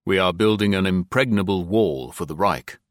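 A man's voice: deep voice, normal speed, dry recording, clean studio sound, close to an everyday voice. A man voicing an unruffled, deferential French contractor answering questions in an audiobook thriller. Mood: calm, deferential.

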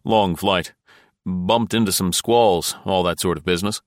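A man voicing a terse, guarded American husband in an audiobook, crisp and curt. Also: sits low, fairly even intonation, measured pace, dry recording, clean studio sound. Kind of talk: audiobook character voice.

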